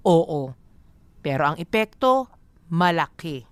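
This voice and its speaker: A woman's voice: low voice, very animated, slow, over loud music, heavily acted. An actress playing a weary young girlfriend, confiding her own story in Filipino radio drama narration.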